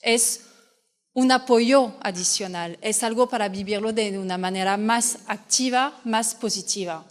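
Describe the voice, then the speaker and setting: A woman's voice: mid-range voice, a little slow. A woman from France with a French accent, speaking Spanish as a non-native and giving an earnest conference talk as a fertility coach. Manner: earnest, explanatory, with frequent restarts. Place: hall at a fertility fair. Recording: hall reverb.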